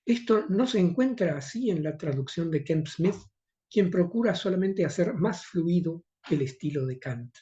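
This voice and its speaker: A man's voice: fairly high pitch, lively intonation, normal speed, warm tone, highs cut off. An older man with a Rioplatense Spanish accent, reading a formal academic conference talk with occasional hesitations. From Argentina. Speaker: conference speaker.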